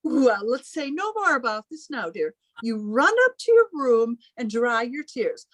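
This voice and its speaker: A woman's voice: fairly high pitch, very animated, a bit bright, dry recording, exaggerated voice, poor audio. A woman playing a controlling, martyred, sternly doting elder sister in an old-time radio drama, with a patronising manner. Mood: curt, hurt. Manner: deliberate, patronising, smothering.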